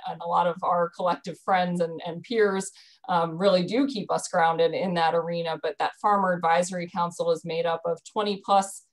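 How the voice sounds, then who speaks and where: A woman in her thirties explaining a dairy program on a video call from home, earnest, with frequent ums and restarts. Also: on the low side. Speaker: dairy program staff presenter. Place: bedroom at home. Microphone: video call webcam.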